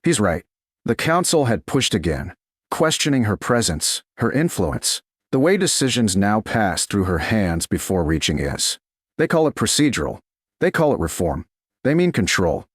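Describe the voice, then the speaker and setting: A man narrating a scripted romance story in a slow, dramatic storytelling style. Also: fairly low pitch, very animated, breathy edge, dry recording, studio quality. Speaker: audiobook narrator.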